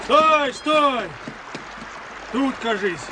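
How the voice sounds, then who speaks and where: Very shrill, excitable Cossack sentry. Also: male voice, drawn-out words, background noise, full character voice. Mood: barking, alarmed. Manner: folksy rustic speech, barking calls. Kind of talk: radio play acting.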